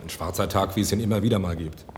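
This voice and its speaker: An actor playing a calm research doctor in a German radio play, explaining things in a soothing, matter-of-fact way. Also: male voice, low-pitched, fast talker, natural voice. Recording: faint background noise.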